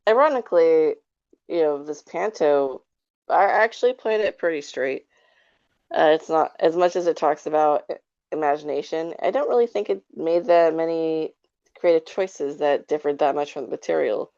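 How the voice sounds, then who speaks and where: A woman's voice: low voice, moderate pace, darkish tone, dry recording. A woman chatting casually in a podcast discussion, speaking in a tentative, hedging way. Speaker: podcast panelist. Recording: slightly dull, lo-fi audio.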